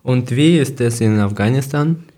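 A man's voice: mid-range voice, moderate pace, mellow. A man from Ukraine co-hosting a casual podcast in learner German, speaking plainly and matter-of-factly. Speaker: podcast co-host.

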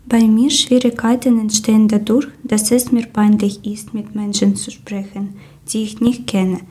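A woman's voice: mid-range voice, little pitch movement, measured pace, a little mellow, breathy edge. A woman from Ukraine, politely asking questions and answering them on a podcast. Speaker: interviewer. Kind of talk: podcast interview.

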